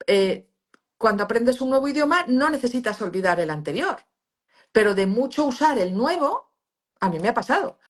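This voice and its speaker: A middle-aged woman from Spain with a Spanish accent speaks with a fairly high, very animated voice. She explains things emphatically, like a teacher, with frequent fillers and restarts. Valencian is her first language. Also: moderate pace. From Valencia region, Spain. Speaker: pain therapist, guest. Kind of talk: explanatory interview talk.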